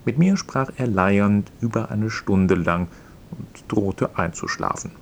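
A man's voice: lowish voice, slow pace, vocal fry, a little hiss or hum. A man reading a scripted introduction to a radio play. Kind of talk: scripted introduction, read narration.

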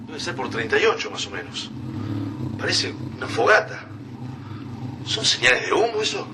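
An actor with an Argentine accent playing a steady, brisk and practical military officer in a radio drama. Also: male voice, fairly high pitch. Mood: alert. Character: steady male military officer leading a troop. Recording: noisy background, voice set back.

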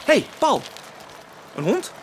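An actor playing an excitable, cheeky boy in a Dutch radio play, speaking in eager, slangy exclamations. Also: very high voice, slow, noisy background, exaggerated voice. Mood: excited, curious. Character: excitable, cheeky boy Arne, dog-loving younger brother.

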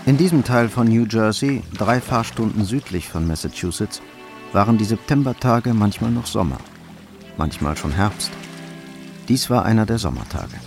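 An actor narrating a hard-boiled radio crime drama in a measured, matter-of-fact way. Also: male voice, lowish voice, expressive, over music.

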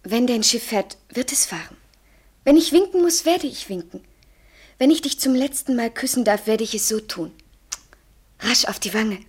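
A woman's voice: fairly high pitch, animated, moderate pace, pauses often. An actress playing a devoted young woman in love in a German radio play, speaking tenderly and poetically. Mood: wistful. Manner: breathy, intimate, poetic.

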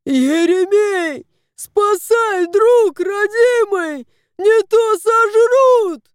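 A woman's voice: sits high, expressive, slow, warm tone, no room sound, clean studio sound, pitched above the performer's own voice. A woman voicing a fat, theatrical tomcat who yowls and demands help. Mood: yowling. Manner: yowling, theatrical.

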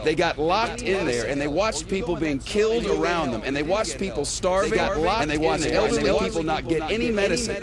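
A man's voice: a little high, expressive, brisk, pauses often. A man giving an impassioned eyewitness account, recorded outdoors in an urban area.

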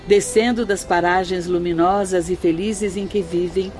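A devout, serene female spirit guide acted by a woman in a radio drama. Her voice sits fairly low and she instructs formally and reverently over faint music. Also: steady tone, normal speed, darkish tone, lightly acted. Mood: gracious.

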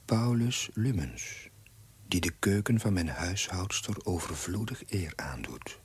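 An actor playing a pensive, self-doubting village curate, narrating his diary in a measured, hushed way in a TV period drama. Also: low voice, lively intonation, a little hiss or hum. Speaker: narrator, a young village priest.

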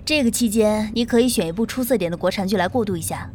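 A woman playing a brisk, matter-of-fact female talent agent in an audio drama. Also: medium pitch, a little mellow, a little hiss or hum, lightly acted. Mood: brisk, businesslike.

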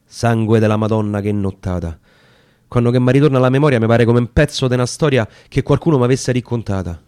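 A man's voice: medium pitch, fast talker, darkish tone, smooth, dry recording, studio quality. A man with a Roman accent reciting narrative dialect sonnets as dramatic storytelling. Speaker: actor reading poetry.

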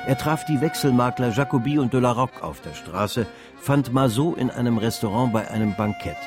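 A man's voice: mid-range voice, expressive, brisk, over music. A man reading scripted literary narration as the narrator of a radio drama.

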